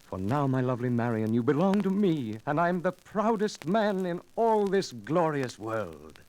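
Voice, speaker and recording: An actor playing a bold, passionate young outlaw leader in a 1940s radio adventure, with declamatory archaic speech. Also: male voice, a little high, big pitch swings, moderate pace. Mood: ardent, tender. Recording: noisy 1940s radio recording with clicks.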